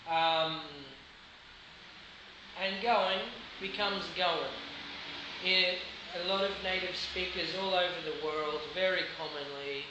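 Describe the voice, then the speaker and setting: A man's voice: sits high, fairly even intonation. A man with an Australian accent, an English teacher giving a hesitant, filler-laden video lesson. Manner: slow, hesitant, many fillers, demonstrates phrases. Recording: very echoey room with background noise.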